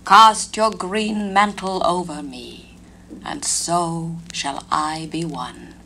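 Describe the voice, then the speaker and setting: A man's voice: a little high, takes it slowly, gravelly, sharp tone, exaggerated voice. A woman voicing a young enchanted male knight who gives his love earnest, urgent instructions in a storybook tale.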